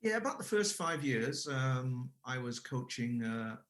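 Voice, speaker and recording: A man's voice: medium pitch, big pitch swings, unhurried, fairly bright tone. A man in his forties from Wales, a guest telling his career story casually, with frequent restarts and self-corrections. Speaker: guest, English school owner.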